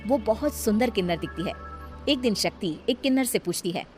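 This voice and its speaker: A woman storyteller reading scripted narration for an animated tale. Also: medium pitch, brisk. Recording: music behind the voice.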